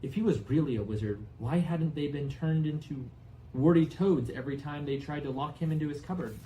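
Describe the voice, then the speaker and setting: A man's voice: fairly high pitch, a little fast, mellow, slight background noise, low-quality recording. Man reading a storybook expressively. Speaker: audiobook reader.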